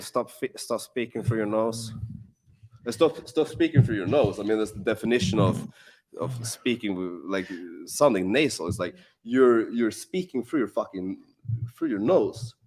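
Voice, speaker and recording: A man's voice: on the low side, darkish tone. A man hosting a live question-and-answer stream, talking casually with lots of swearing and filler words. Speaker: live Q&A host. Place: in Warsaw.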